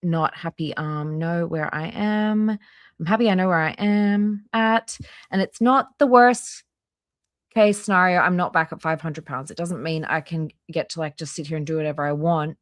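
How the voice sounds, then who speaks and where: A woman's voice: fairly low pitch, moderate pace, dry recording. A woman hosting a casual reaction livestream, reading aloud in an informal way with offhand asides. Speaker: livestream host.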